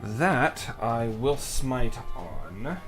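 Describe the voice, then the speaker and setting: A man's voice: mid-range voice, very animated, very slow. A man talking casually as a player in a tabletop game, announcing his moves and rolls. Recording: music clearly playing behind voice. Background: background music.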